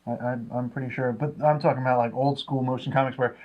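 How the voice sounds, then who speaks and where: A man's voice: mid-range voice, level tone, quick pace, muffled recording. A man co-hosting a review show in casual chat, hesitant and often repeating his words.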